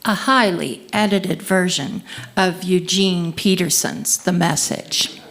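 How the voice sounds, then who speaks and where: Slow, measured woman in dramatic reading. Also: lowish voice, a bit bright. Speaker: reader in a group dramatic reading. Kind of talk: theatrical scripture reading.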